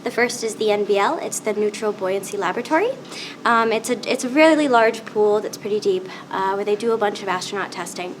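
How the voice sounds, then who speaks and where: A woman's voice: mid-range voice, a little fast, slight background noise, low-quality recording. A young woman engineering student explaining her group's plans with bubbly enthusiasm in a university lab. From United States. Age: young adult. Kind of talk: casual explanation.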